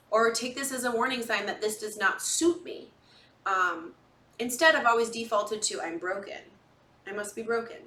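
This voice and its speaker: A woman's voice: mid-range voice, pushed and bright. A woman in her forties talking casually and candidly to her viewers, emphatic, acting out her own inner dialogue in a confessional monologue. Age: 40s. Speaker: podcast and video host. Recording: echoey room, distant microphone.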